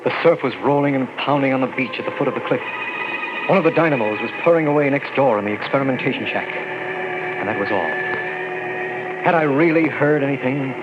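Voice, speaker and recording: A man's voice: medium pitch, a little fast, lo-fi sound, very noisy, natural voice. An actor playing a shaken young army lieutenant, telling his story in tense first-person narration on an old radio drama recording. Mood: uneasy.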